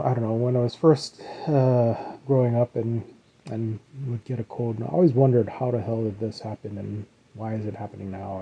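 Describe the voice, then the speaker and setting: Male podcast host, rambling casual monologue, dark husky voice slightly rough from congestion, dull lo-fi mic. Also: medium pitch. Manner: rambling, self-correcting, chuckles now and then. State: slightly rough voice from post-nasal drip. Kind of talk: casual solo podcast chat.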